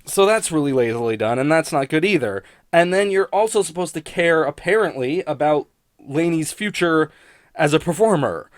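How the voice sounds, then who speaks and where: A man's voice: fairly high pitch, dry recording, clean studio sound. A man giving a casual, rambling spoken review in a blunt, sweary, grumbling manner. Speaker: host of a TV criticism show.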